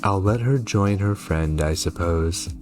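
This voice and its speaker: A man's voice: low voice, measured pace, over music. A man reading game dialogue as a cold, matter-of-fact murderous witch and mother. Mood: cold, resigned. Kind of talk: read-aloud game dialogue.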